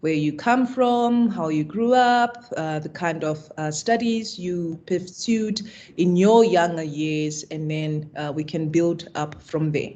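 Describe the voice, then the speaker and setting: Slow-speaking woman interviewer. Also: fairly low pitch, warm tone, muffled recording. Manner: probing, with many fillers. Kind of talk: interview questions.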